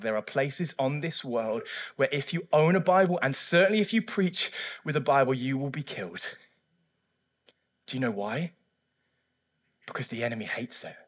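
A man preaching a sermon and interviewing a church member on stage, emphatic and swinging between hushed and loud. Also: a little high. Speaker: pastor. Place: church service.

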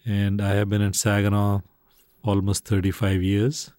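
A man in his seventies from Pakistan, a podcast guest who speaks in a measured, formal way with dry replies. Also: fairly low pitch, monotone, a little mellow, no room sound. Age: 70s. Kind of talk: podcast interview.

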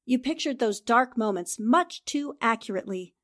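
A woman playing a shrewd, composed newspaper reporter, measured and accusatory, in 1940s-style radio drama. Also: a little high, lively intonation, fairly bright tone. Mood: explaining, grave.

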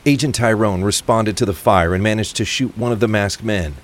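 A man's voice: on the low side, a little fast. A man reading scripted narration for a crime thriller audio drama. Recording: faint rain and traffic ambience. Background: rain, vehicle sounds.

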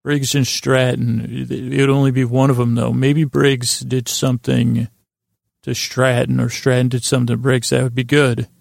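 A man hosting a bedtime podcast, rambling in a halting, meandering way with a gravelly, creaky voice. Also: medium pitch, little pitch movement, no room sound, clean studio sound. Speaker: podcast host. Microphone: close podcast microphone.

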